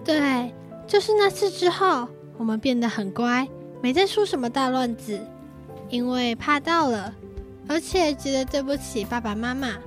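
Teen girl acting bossy loving big sister, high voice, Taiwanese Mandarin, audio drama, soft music behind. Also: lively intonation, moderate pace. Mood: reflective. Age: teenager. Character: affectionate, bossy elder sister looking back on childhood. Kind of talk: children's radio drama acting.

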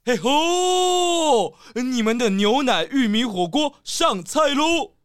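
A man's voice: extremely high pitch, lively intonation, slow pace, smooth, exaggerated voice. A man voicing a jolly, bashful brown bear who runs a hot-pot shop in a children's radio drama, with a hearty, welcoming manner. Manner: hearty, exclaiming, hospitable.

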